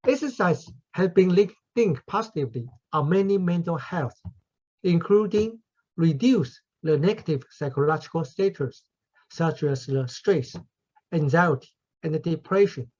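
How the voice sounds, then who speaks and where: A man from Taiwan with a Taiwanese accent giving a formal academic conference talk as a professor, speaking carefully and deliberately with small grammar slips. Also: on the high side, lively intonation, a little mellow. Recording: muffled, husky lo-fi audio.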